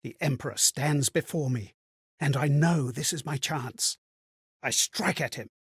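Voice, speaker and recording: A lost male spirit in a fantasy video game. The voice sits fairly high and is husky, recorded close and dry. He speaks with formal, theatrical care, earnest and melancholy. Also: no room sound, heavily acted. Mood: shaken. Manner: theatrical, measured, formal diction. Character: amnesiac male spirit reliving strangers' memories, earnest and melancholy. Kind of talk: dramatic scripted game monologue.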